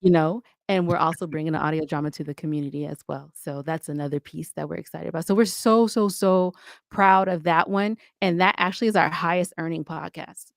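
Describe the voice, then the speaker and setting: A woman's voice: fairly low pitch, a little fast, gravelly, no room sound, clean studio sound. A middle-aged woman talking casually as a podcast guest, chatty and candid, with playful asides. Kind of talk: casual interview talk.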